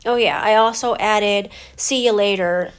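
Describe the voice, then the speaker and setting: A middle-aged woman with a stuffy head cold, talking through her scrapbook layout step by step in a relaxed voice-over. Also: mid-range voice, unhurried, clean tone. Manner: relaxed, explains each step as she works. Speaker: scrapbooking crafter. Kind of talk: casual craft voice-over.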